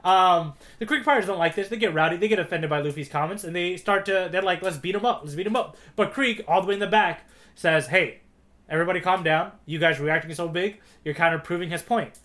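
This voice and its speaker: Higher-voiced man, casual podcast co-host. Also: fairly quick, fairly bright tone. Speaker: podcast co-host, recaps manga chapters. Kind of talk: casual retelling and chat.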